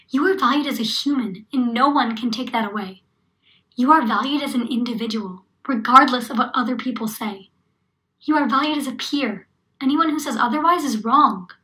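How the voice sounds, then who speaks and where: Woman, high bright voice, poetry slam recitation, spoken-word delivery. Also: low-quality recording.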